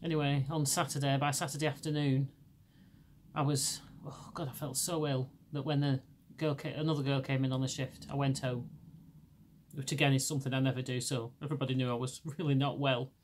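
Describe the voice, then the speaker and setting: A middle-aged woman from England with a Lancashire accent, hosting a podcast in casual chat. Her naturally deep voice is still hoarse from laryngitis, and she rambles with many restarts. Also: flat delivery, a little fast, darkish tone, slight background noise. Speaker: podcast host.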